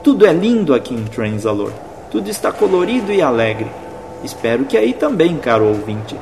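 A man's voice: on the high side, big pitch swings, normal speed, creaky. A Brazilian man playing a whimsical, theatrical storyteller in an audio drama, addressing the listener directly and dramatically. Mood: wistful. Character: whimsical, theatrical male storyteller of a sci-fi Christmas tale. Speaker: narrator. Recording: long reverb, music bed behind.

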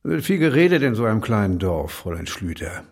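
A man's voice: on the low side, very animated, a little fast. Man playing a curt village choirmaster, noisy recording. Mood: guarded, probing. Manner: curt, dry, commanding. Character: gruff, guarded village choir leader, probing and authoritative. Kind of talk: German audio drama acting.

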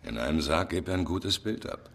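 A man's voice: low-pitched, big pitch swings, moderate pace, creaky, a little hiss or hum, exaggerated voice. An actor playing a jealous, suspicious man in a radio crime drama, probing and bitter in his questions. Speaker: Johnny. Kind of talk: radio play acting.

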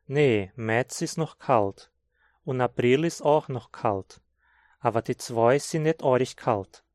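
A man from southern Brazil with a Hunsrik German accent, reading a scripted textbook dialogue in a slow, clear teaching manner. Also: medium pitch, a little mellow, no room sound, studio quality. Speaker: language course reader.

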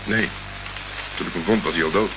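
An actor playing a dry, sardonic private investigator in a Dutch radio play, deadpan and unruffled. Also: male voice, deep voice, fairly quick. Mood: matter-of-fact. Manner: sarcastic, deadpan. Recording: muffled, noisy old radio recording.

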